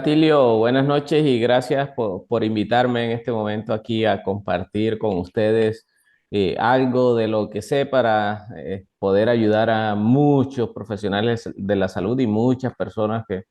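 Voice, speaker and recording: A young man with a Colombian Caribbean accent, explaining things as an expert guest in an interview, in a halting way with repeated words. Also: on the low side, darkish tone. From northern Colombia. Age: young adult. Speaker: guest doctor, nutrition expert. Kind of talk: interview, explaining to a lay audience.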